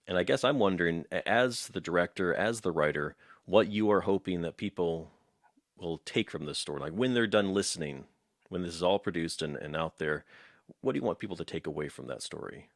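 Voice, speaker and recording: A man's voice: sits low, brisk, no room sound, clean studio sound. A man hosting a casual interview, conversational and emphatic, repeating words for stress. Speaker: interviewer, host.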